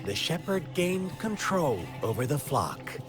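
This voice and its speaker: An actor playing a sly, menacing game character who tells tales in a theatrical, mockingly playful way with drawn-out phrasing. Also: male voice, a little high, sing-song, over music. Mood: storytelling. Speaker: character in a video game story quest. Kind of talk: dramatic game storytelling.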